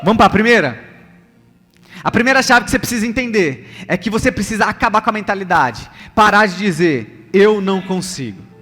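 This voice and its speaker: A man's voice: high-pitched, expressive, a little fast, fairly bright tone. A male pastor with a Brazilian accent preaching a sermon to a congregation, emphatic and repetitive, putting rhetorical questions to his listeners. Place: large church hall, preaching live. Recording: long hall reverb, music underneath.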